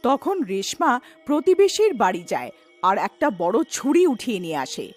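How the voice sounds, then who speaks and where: A woman's voice: a little high, big pitch swings, normal speed, soft background music. A woman reading scripted narration for a cartoon horror tale.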